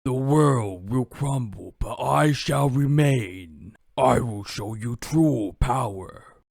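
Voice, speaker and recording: Guy doing a big defiant warlord villain, gravelly, slow and dramatic, game style. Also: medium pitch, animated, no echo, clean studio sound, pitched above the performer's own voice, heavily acted. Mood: imperious. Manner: declamatory, forceful. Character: grand commanding male warlord, defiant and ruthless. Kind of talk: video game character impressions.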